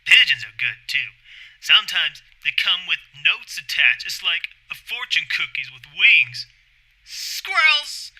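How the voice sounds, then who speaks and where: A man's voice: fairly high pitch, very animated, a little slow, pushed and bright, heavily acted, poor audio. A man voicing an eccentric backwoods radio caller, folksy, rambling and oddly earnest.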